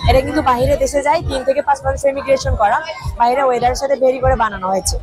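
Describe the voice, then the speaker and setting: A woman's voice: sits high, steady tone, racing, darkish tone, slightly rough. A woman with a Bangladeshi accent giving an instructional product demonstration as a salesperson. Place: trade fair stall.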